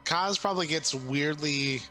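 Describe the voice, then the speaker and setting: A man plays a tabletop game with casual, playful chat about his character. His voice is bright and a bit high, with music behind it. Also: a little slow.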